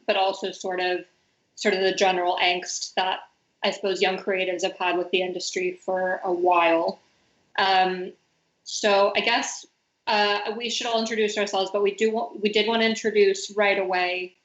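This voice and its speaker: A young woman from the United States moderating a panel discussion over a video call, talking with many fillers and hedges. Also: mid-range voice. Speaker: talk moderator. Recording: roomy, muffled call audio.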